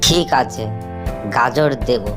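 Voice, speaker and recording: A woman voicing a clever, calm rabbit in a children's cartoon, speaking in a measured way. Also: a bass voice. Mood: sly, calm. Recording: music behind the voice.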